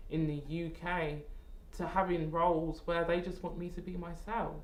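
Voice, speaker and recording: A woman's voice: lowish voice, little pitch movement, moderate pace. A woman with a London accent talking in an interview discussion. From Croydon, South London, England. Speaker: interviewer and actor. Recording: roomy echo, faint background noise.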